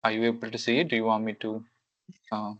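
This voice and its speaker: A man's voice: fairly low pitch, completely flat delivery, fast talker, a bit bright, no room sound, highs cut off. A man hosting a webinar Q&A on a video call, speaking hesitantly with many fillers. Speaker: webinar host.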